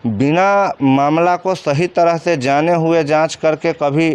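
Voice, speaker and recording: A man's voice: a little high, fairly even intonation, takes it slowly, clear, resonant tone. A man with a Bihari accent giving informal commentary in an interview, explaining colloquially and often answering his own questions. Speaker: commentator interviewed about crime cases.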